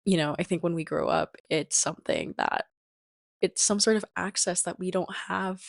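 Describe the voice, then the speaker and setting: A woman's voice: on the low side, moderate pace, creaky, dry recording, clean studio sound. A 21-year-old woman hosting a casual, reflective solo podcast in a chatty, rambling way with lots of filler words. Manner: chatty, rambling, sprinkled with "like" and "you know". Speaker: podcast host.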